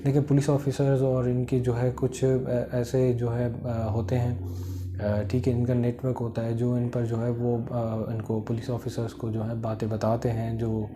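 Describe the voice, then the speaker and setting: A man with a Pakistani accent giving an informal explanatory lecture, halting and repetitive, with many filler phrases. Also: mid-range voice, flat delivery, noisy background. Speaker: law lecturer. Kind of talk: informal explanatory lecture, Urdu mixed with English legal terms.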